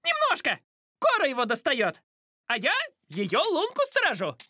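A woman voicing a chatty, boastful, excitable little male bird in a cartoon, exclaiming as he chatters. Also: high-pitched, moderate pace, no echo, full character voice. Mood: sheepish. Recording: music behind the voice.

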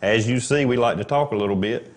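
Folksy Southern man pitching deals. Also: quick pace. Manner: folksy, plain-spoken. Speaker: shopping show co-host. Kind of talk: casual banter and sales pitch.

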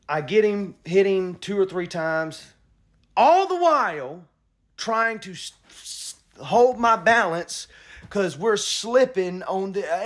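A man in his thirties from New Orleans, casually telling a story to a phone held at arm's length in a small bedroom, acting out the moves with drawn-out emphasis. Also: on the high side, a bit bright. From New Orleans, Louisiana. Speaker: rapper vlogger.

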